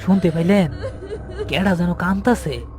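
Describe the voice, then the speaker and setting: An anxious young village gravedigger's helper, played by a man, speaks hesitantly in a rural Bangladeshi accent. His voice is on the high side, with horror-story music behind it. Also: normal speed, lightly acted. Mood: frightened. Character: fearful young male gravedigger's helper, uneasy and respectful. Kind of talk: audio horror story acting.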